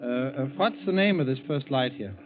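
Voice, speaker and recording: An actor playing a nervous, evasive husband in a 1940s radio drama, hesitant and stammering. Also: male voice, medium pitch, expressive, a little fast, lightly acted. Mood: evasive, uneasy. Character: nervous, evasive widowed husband hiding a scheme. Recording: tinny vintage radio broadcast, faint music.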